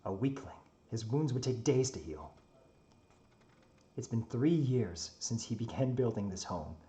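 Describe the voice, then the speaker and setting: A man's voice: fairly low pitch, mellow, close to an everyday voice. A man voicing a proud, grumpy non-human creature in a dramatic story reading, haughty and brooding. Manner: brooding, haughty, muttering monologue.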